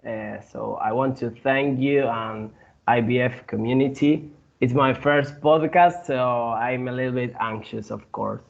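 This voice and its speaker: A man from Argentina with an Argentine Spanish accent, a guest in a casual interview who speaks haltingly, with many fillers and restarts. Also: mid-range voice, vocal fry, highs cut off.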